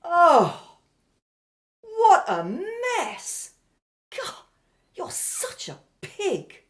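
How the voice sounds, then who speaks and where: A woman's voice: mid-range voice, big pitch swings, a little slow, heavy background noise. A woman playing a bossy, scornful woman in a radio drama, imperious and easily flustered. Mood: scornful, impatient. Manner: imperious, scolding, flustered when questioned. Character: bossy, scornful woman Amina, desperate to be assimilated. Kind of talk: audio drama acting.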